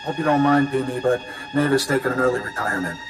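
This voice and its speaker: A man's voice: medium pitch, fast, music in the background, natural voice. An actor playing a cocky male rogue smuggler captain in a video game, casual, mocking and smug. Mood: gloating, taunting.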